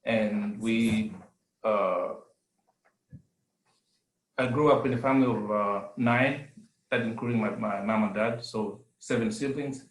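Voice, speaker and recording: A man's voice: lowish voice, takes it slowly, long pauses, reverberant, poor audio. A middle-aged man from Zambia with a Zambian accent, giving an earnest personal testimony over a video call, with hesitant fillers. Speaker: adult education graduate giving testimony.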